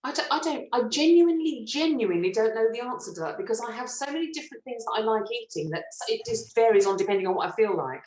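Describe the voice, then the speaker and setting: A middle-aged woman from Britain, a stage actress telling lively, self-mocking stories in an interview and laughing often. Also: a little high, fast, few pauses. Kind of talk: relaxed anecdotal interview chat. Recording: roomy echo.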